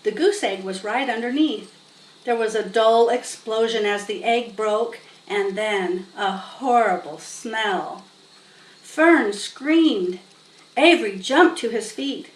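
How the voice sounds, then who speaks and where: A woman's voice: a little high, slow. A woman narrating a children's story expressively as a storybook reader. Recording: roomy, distant mic, faint noise.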